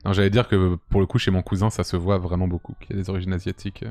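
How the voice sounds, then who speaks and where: Low-voiced man streaming casually. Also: little pitch movement, very fast, a little mellow. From France. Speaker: live streamer. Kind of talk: casual livestream chat and game commentary. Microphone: close boom mic with headset. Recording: music playing under the voice.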